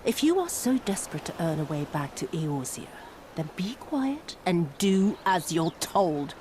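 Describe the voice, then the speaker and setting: A woman's voice: lowish voice, big pitch swings, measured pace, a little hiss or hum. A woman playing a cruel, sadistic and haughty imperial overlord in a video game, speaking with theatrical contempt and drawling menace. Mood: scornful, irritated. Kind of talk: dramatic video game voice acting. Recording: loud music behind the voice.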